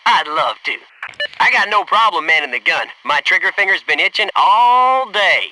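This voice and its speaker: An actor playing a cocky, keen young military squadmate in a video game, giving short clipped combat callouts. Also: male voice, high voice, animated, moderate pace, sharp tone, slight background noise, exaggerated voice, low-quality recording. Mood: cocky, elated.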